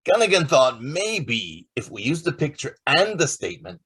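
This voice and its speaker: A man's voice: fairly high pitch, wildly swooping pitch, normal speed, bright, forceful tone, breathy edge, full character voice. A man playing a keen, breezy, emphatic star reporter in an old-time radio drama. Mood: frustrated.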